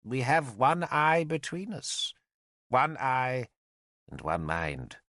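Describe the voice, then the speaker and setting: A man playing a blind old druid, a theatrical, emphatic storybook character who rambles half-mad and is quick to take offence. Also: mid-range voice, big pitch swings, bright, forceful tone, no room sound, clean studio sound, full character voice. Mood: indignant, lecturing. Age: older.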